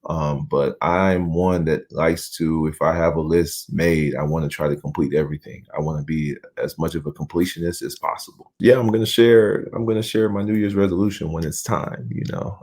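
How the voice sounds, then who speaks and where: A young man from Nigeria, raised in Houston, co-hosting a casual chat in a relaxed, earnest way with many "you know" fillers. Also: very deep voice, normal speed, few pauses. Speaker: channel co-host, professional basketball player.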